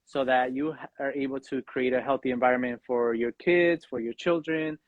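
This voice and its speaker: A man's voice: medium pitch, steady tone, dry recording. Earnest man in a slightly dull recording. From Mexican background. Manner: earnest, halting, frequent "you know" and "right?". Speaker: podcast guest, fatherhood coach. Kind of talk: reflective personal conversation.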